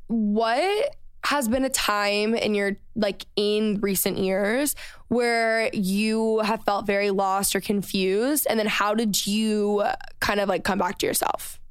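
A woman's voice: medium pitch, slow, clear, resonant tone, dry recording, studio quality. A woman in her twenties hosting a podcast, chatting casually with a sarcastic, filler-heavy way of talking. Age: 20s. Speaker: podcast host. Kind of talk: casual chat.

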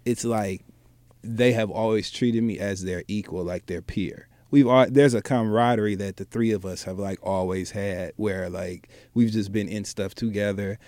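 An American man with a fairly low voice, talking in a relaxed, conversational style. He is informal and emphatic, and the recording is dry with little room sound. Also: normal speed, dry recording. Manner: informal, emphatic, checks in with "right?". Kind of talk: casual conversational talk.

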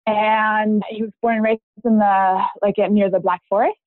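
A woman's voice: medium pitch, little pitch movement, normal speed, dry recording. A woman from Germany, a guest on a podcast, chatting openly and laughing now and then. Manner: open, chatty, laughs while telling family story. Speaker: podcast guest, real estate agent. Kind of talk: casual interview talk. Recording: tinny phone-like remote line.

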